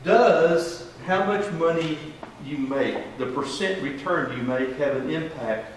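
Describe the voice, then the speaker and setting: A folksy, emphatic man in middle age leads a money seminar, tossing questions to his audience. His voice sits a little high. He is picked up from across a very echoey room with faint hiss. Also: takes it slowly, recorded from a distance. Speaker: financial advisor teaching a seminar. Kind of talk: classroom presentation. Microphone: room mic from a distance.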